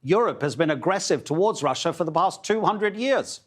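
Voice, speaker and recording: Male TV news presenter, pitched a bit above average, conversational and informal while interviewing. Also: animated, normal speed. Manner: chatty, informal questioning. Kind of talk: live broadcast interview.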